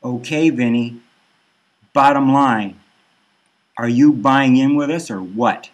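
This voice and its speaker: A man voicing a pushy, chummy virtual pub buddy who talks in hyped, hard-selling pitchman patter. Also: slow, natural voice. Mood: miffed, pushy.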